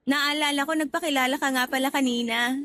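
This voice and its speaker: A bubbly young office trainee in a radio drama. Her voice is high, and she chats in a playful, forward way full of exclamations. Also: fairly even intonation, fast, sharp tone. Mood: cheerful, chatty. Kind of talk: Tagalog radio drama acting.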